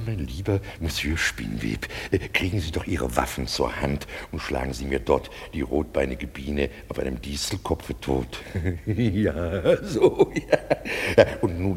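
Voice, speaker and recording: An actor playing a smug, cosy donkey-headed fool in a classic radio comedy, with a drawling, muttering, self-satisfied delivery. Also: male voice, slight background noise, heavily acted. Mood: pompous, contented. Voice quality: gravelly, husky, very deep.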